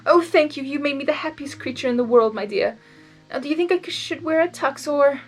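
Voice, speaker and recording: A woman voicing a possessive male chaos creature in a fanfiction story, a loud, coaxing suitor full of nervous bluster. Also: high voice, a little fast, soft background music, voice pushed up. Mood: delighted.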